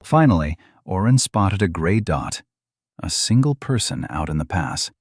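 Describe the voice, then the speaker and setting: A man narrating a fantasy novel as an audiobook, in a steady, even storytelling manner. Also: sits low, unhurried, husky, no echo, studio quality.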